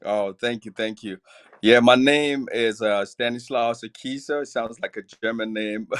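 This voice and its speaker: A man in his forties from Cameroon with a Cameroonian accent, chatting as a podcast guest, relaxed and laughing easily between filler pauses. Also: mid-range voice, clean tone. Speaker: podcast guest, clothing brand founder. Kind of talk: casual interview chat.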